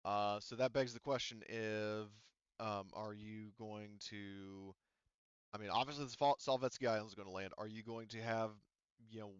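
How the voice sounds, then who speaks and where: A man running a tabletop roleplaying game as game master, casually narrating and thinking aloud in a halting way. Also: on the low side, steady tone, clear, resonant tone, no room sound, highs cut off.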